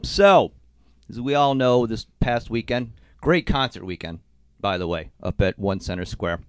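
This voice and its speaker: Podcast co-host guy, bit stuffy and sick, chatty storyteller, pitch jumping around, dry studio sound. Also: a little slow, clean tone, no echo. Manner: animated storyteller, laughs while telling. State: slightly sick, congested. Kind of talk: casual storytelling chat. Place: recording studio. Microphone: studio mic.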